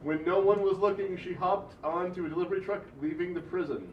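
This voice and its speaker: A man's voice: high voice, a little fast. A man reading narration and parts from a script at a live comedy table read. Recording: distant, echoey, muffled, noisy room.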